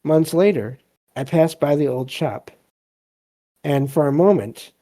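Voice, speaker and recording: A man hosting a podcast, reading stories aloud and commenting casually in a halting, reflective way. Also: a little high, warm tone. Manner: halting, hesitant, reflective delivery. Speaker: podcast host.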